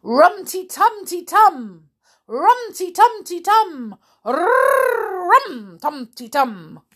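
A woman's voice: medium pitch, moderate pace, husky. A woman voicing a naughty, exclamatory girl doll in a children's storybook, calling out and pleading. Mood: loud chanting. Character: naughty girl doll, loud, panicky, later contrite.

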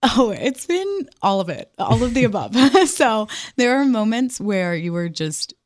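A woman's voice: a little high, very animated, measured pace, dry recording, clean studio sound. A young woman giving a chatty radio interview as a guest, with an upbeat, enthusiastic manner. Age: young adult. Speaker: pageant titleholder guest.

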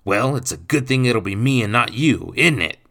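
A man voicing a rough, bullying, thieving stepfather in an audiobook, gruff and coarse in his grammar. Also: lowish voice, expressive, moderate pace, bright, forceful tone, pitched above the performer's own voice, exaggerated voice. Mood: scheming, barking. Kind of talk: audiobook character voice.

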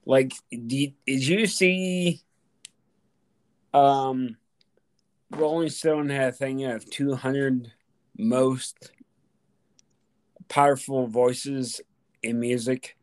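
A man co-hosts a podcast in easy, casual chat. He speaks slowly and asks questions in an unhurried way. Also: medium pitch, fairly even intonation, rough recording. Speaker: podcast co-host.

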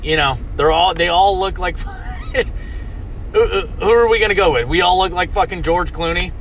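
An American man with a high voice rants for comedy, cursing nearly every sentence. His tone is bright and sharp, loud and mock-indignant, with a faint hum of noise behind him. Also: unhurried, poor audio. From United States. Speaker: comedic ranter. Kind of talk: profane comedic rant.

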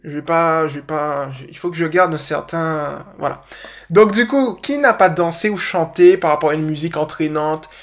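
A man's voice: on the high side, normal speed. A man with a slight Guadeloupean French accent hosting a dog-training podcast, giving chatty, enthusiastic advice and poking fun at himself.

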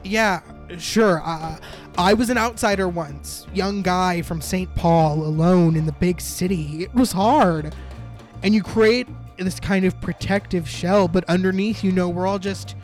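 A man's voice: high voice, a little slow, faint music behind. A man voicing an ambitious, bumbling corporate man who schemes and laughs as he talks. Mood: scheming.

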